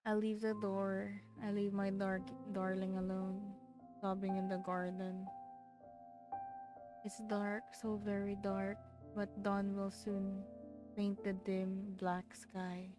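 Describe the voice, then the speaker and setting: Slow-paced theatrical woman streaming a game. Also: medium pitch. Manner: theatrical, expressive. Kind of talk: dramatic reading of visual novel narration with casual reactions. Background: faint game music.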